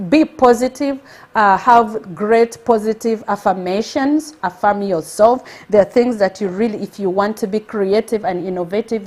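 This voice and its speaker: A woman's voice: medium pitch, a little mellow. A woman from Uganda with a Ugandan accent, hosting a motivational live stream and speaking with energy, exclaiming and repeating her points for emphasis.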